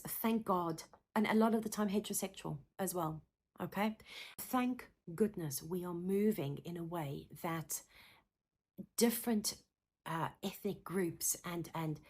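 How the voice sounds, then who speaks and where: Earnest South African woman, low voice. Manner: earnest and passionate, repeats words for emphasis. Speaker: counsellor and psychotherapist. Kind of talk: direct-to-camera teaching talk.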